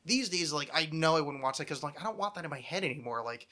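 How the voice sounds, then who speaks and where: A man's voice: a little high, animated, fast talker, bright, forceful tone. A man in his thirties chatting casually and ramblingly as a podcast host, with a wry, mock-dramatic, self-deprecating manner.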